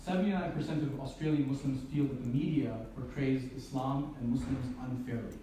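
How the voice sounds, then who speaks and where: A man's voice: medium pitch, brisk, dark tone. Man lecturing in a very echoey hall. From Canada. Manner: earnest, repeats and restarts phrases, asks rhetorical questions. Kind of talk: public lecture. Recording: very echoey hall from far off, faint hiss.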